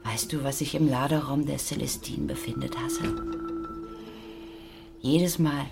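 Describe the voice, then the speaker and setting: Careworn mother, low voice, anxious, tender, emotional, radio drama, music behind. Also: sing-song, a little slow, exaggerated voice. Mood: wistful, dreamy. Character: careworn, loving working-class mother of a teenage boy. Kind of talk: German radio play acting.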